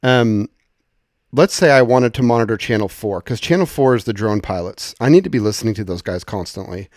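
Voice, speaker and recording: Dry-sounding man giving an informal software walkthrough. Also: on the low side, lively intonation, brisk, no echo. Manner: conversational, explanatory, thinking aloud. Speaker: software tutorial presenter.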